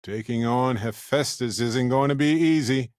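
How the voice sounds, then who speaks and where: A man voicing an upbeat, wisecracking, warm-hearted space explorer companion in a video game, chatty and wry with theatrical emphasis. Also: mid-range voice, normal speed, no room sound, clean studio sound, close to an everyday voice. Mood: wry, conversational.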